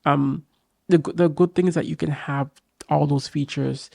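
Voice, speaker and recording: A young man with a raspy voice from a cold, casually and eagerly reviewing a game controller to camera in a small home studio. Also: on the high side, steady tone, normal speed, a little mellow, no echo. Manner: enthusiastic, talks straight to camera, many fillers. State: getting over a cold. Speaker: tech reviewer. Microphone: boom mic on arm.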